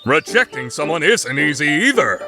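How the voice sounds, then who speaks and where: A man's voice: fairly high pitch, very animated, moderate pace, music in the background. A man playing a dramatic, all-knowing narrator in an anime fan radio play, with declarative delivery.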